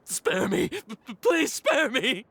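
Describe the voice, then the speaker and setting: A man's voice: very high voice, wildly swooping pitch, takes it slowly, background noise, heavily acted. A man voicing a cowardly villager in a video game, nervous, stammering and frantic. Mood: terrified. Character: panicky, cowardly herb-gathering villager.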